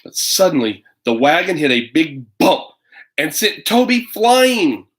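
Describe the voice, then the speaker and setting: A man's voice: a little high, big pitch swings, measured pace, fairly bright tone. Rambling, playful man hosting storytime. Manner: rambling, hesitant, playful asides. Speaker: parent reading a picture book for children online. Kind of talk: casual livestream chat and children's storybook read-aloud.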